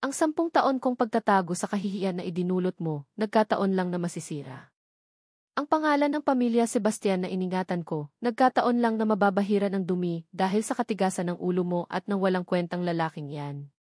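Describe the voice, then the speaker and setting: A woman's voice: mid-range voice, lively intonation, fairly quick, a little mellow, dry recording, studio quality, lightly acted. A woman voicing a cold, scornful, domineering older mother in a narrated story, haughty and threatening. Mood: angry, accusing.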